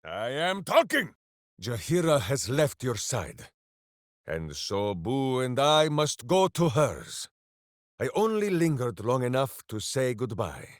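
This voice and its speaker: A hulking, earnest ranger from a fantasy video game who refers to himself in the third person and makes grand heroic proclamations about good and evil. His delivery is slow and deliberate, and his pitch swings widely between booming highs and low rumbles. The sound is dry and close, with no room around it. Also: wildly swooping pitch, no room sound. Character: hulking earnest male ranger warrior with a pet hamster. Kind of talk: game voice lines.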